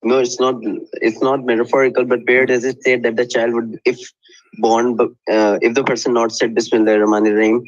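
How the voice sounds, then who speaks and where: Halting man with a cold, lo-fi call. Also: medium pitch, little pitch movement, no echo. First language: not English. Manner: hesitant, halting, defensive. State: has a cold, coughing. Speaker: caller in a debate. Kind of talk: live online debate. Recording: dull lo-fi call audio.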